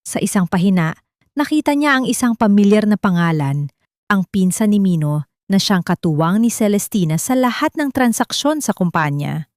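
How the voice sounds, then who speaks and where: Female audiobook narrator for scripted drama, mid-pitched, very dry close recording. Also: darkish tone, no echo.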